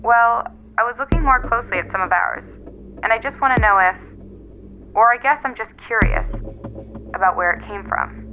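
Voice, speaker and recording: A woman's voice: a little high, normal speed, pauses often, phone-quality sound, soft background music, close to an everyday voice. A woman acting in an audio drama as a concerned, curious caller, speaking casually and tentatively.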